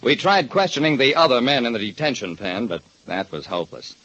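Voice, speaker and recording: An actor playing a private detective who narrates in a dry, deliberate way in a radio drama. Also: male voice, mid-range voice, sing-song, a bit bright, a little hiss or hum. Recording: dull lo-fi old radio broadcast.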